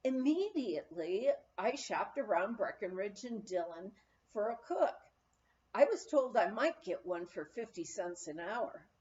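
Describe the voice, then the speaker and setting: A woman's voice: medium pitch, very animated, measured pace, a little mellow, muffled recording. A woman reading a memoir aloud as scripted, storytelling narration. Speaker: audiobook reader.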